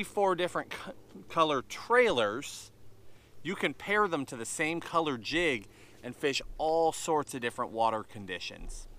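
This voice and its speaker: An energetic man in his thirties explains lure technique to the camera from a boat on a lake, his hands moving constantly as he speaks. His voice is bright and forceful, sitting in the middle of the male range. A close camera mic picks him up outdoors. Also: mid-range voice. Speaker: fishing channel host. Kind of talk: outdoor fishing tips to camera.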